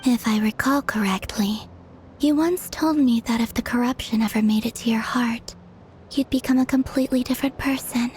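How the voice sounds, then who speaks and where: An actress playing a soft-spoken young woman with a hushed, breathy, gentle delivery in a video game. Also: a little high, moderate pace, soft background music, close to an everyday voice.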